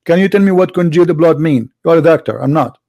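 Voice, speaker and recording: Guy arguing hard on a video call, kinda high voice, dry close sound, keeps cutting in. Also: quick pace, dark tone, no room sound. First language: Arabic. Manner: insistent, interrupting, repeats words. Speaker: debate host. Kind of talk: heated online debate.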